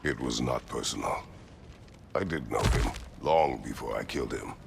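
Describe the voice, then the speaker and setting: An actor playing a grim, stern warrior who speaks tersely and heavily in video game dialogue. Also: male voice, very deep voice, gravelly, noisy background, full character voice. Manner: terse, heavy, measured speech. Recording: game audio with loud music.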